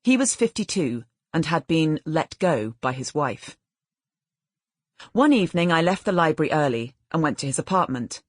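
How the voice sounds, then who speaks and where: A woman reading literary fiction as an audiobook narrator in a measured, expressive way. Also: low-pitched, dry recording, clean studio sound.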